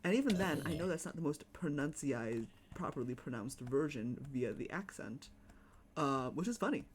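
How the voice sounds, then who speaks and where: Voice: medium pitch, lively intonation, moderate pace, a little hiss or hum. A streamer with a Chicago accent, chatting casually and rambling while playing a game at home. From United States. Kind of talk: casual live-stream chat while gaming.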